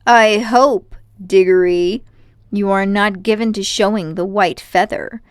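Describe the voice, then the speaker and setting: A haughty older gentleman magician, acted out by a woman reader, vain and cruel, speaks in grandiose, indignant phrases. The storybook character sounds close and dry. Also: mid-range voice, fairly even intonation, a little slow, smooth, no echo, lightly acted. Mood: high and mighty.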